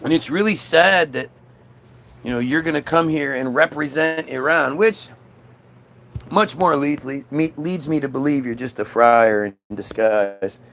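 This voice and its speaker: A man's voice: fairly high pitch, a little slow, a bit bright. A man in a casual, combative political rant, dismissive and sweary as he answers chat comments. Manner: frequent swearing.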